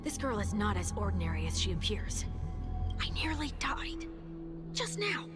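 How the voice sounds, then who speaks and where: A woman's voice: medium pitch, sing-song, moderate pace, loud music behind the voice, natural voice. An actress playing a guarded, cool woman in a fantasy video game, terse and understated.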